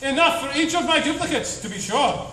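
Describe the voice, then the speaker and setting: Man playing a high-voiced, grandiose, forlorn hero. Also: lively intonation, fairly quick, pushed and bright, heavily acted. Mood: melodramatic, forlorn. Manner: grandiose and declamatory. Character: noble, melodramatic, self-pitying male hero adventurer. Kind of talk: live comic radio theatre. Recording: echoey noisy hall, far from mic.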